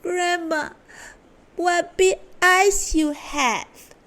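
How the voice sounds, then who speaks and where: A woman voicing a sweet, innocent little girl in a storybook reading, high and sing-song. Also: expressive, slow pace.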